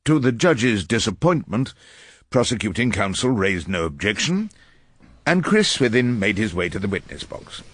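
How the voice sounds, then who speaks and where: An actor playing a wry, irascible veteran English barrister, narrating and questioning witnesses in a dry, theatrical radio drama manner. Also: male voice, on the low side, very animated, normal speed. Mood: wry narration.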